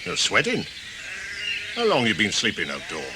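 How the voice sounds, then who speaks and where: Gruff charcoal burner. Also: male voice, on the low side, wildly swooping pitch, normal speed, noisy background. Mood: blunt, curious. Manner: terse, blunt, clipped dialect phrasing. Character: gruff rustic charcoal burner, plain and kindly countryman. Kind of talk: radio play acting.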